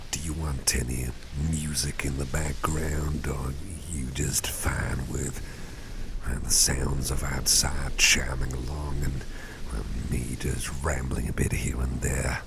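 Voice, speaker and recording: A man playing a caring, easygoing boss in an ASMR roleplay, murmuring softly and breathily in a rambling, reassuring way, close to the microphone. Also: very deep voice, little pitch movement, a little slow, creaky, noisy background, full character voice. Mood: soothing, attentive. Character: caring, easygoing male boss, gently soothing and chatty. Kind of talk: ASMR roleplay, soft personal-attention talk.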